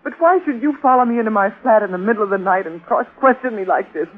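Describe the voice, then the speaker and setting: A woman playing an anguished young bride, breathy, dramatic, haunted, old radio thriller, dull recording. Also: medium pitch, animated, fast talker, natural voice. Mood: defensive confusion. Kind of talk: 1940s radio acting. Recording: muffled old radio recording, music behind.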